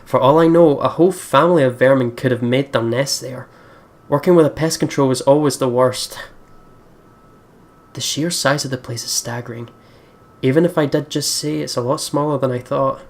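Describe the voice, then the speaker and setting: A man hosting a let's play, reading a visual novel aloud and adding chatty, playful asides to viewers. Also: mid-range voice.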